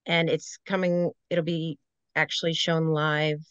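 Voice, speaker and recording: A woman's voice: sits low, level tone, a little slow, a bit bright, smooth. A woman in her thirties conducts a casual interview over a video call. The sound is dry and slightly dull, with little room echo. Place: indoors in a room full of shelves, on a video call. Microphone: computer video-call microphone.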